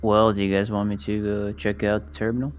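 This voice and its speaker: A man with a low-pitched voice plays a tabletop roleplaying game. His talk is casual and conversational, that of a player working out his turn. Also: level tone, brisk, lo-fi sound.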